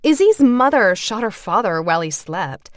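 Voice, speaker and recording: A woman's voice: medium pitch, sing-song, moderate pace, no room sound, studio quality, natural voice. A woman voicing a spiteful teenage girl who sneers with fake sweetness. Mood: sneering.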